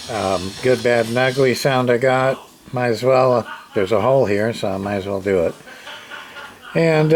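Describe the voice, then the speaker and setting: A man's voice: medium pitch, a little mellow. A man giving a casual, hands-on repair narration, rambling and muttering to himself in a folksy, plain-spoken way. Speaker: CB radio repair hobbyist. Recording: noisy, with clicks and radio sound.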